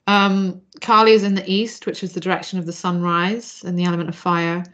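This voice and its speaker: A woman's voice: on the low side, level tone, a little fast, a bit bright, dull audio. A woman from Zambia speaking as a podcast guest, explaining her spiritual practice in a reflective, discursive, earnest way. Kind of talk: reflective interview talk about spiritual practice.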